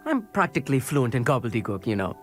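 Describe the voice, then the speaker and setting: A man's voice: medium pitch, big pitch swings, quick pace, faint music behind, lightly acted. A man voicing an affable, boastful young student in a video game, rambling and pleased with himself. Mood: chatty, proud. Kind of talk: video game cutscene acting.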